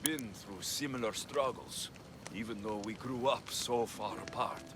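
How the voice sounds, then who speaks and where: A man's voice: mid-range voice, a little slow, background noise, natural voice. A man playing a cool, self-assured mercenary hero. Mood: steady. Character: confident young male Greek mercenary hero. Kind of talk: video game cutscene acting. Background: orchestral score.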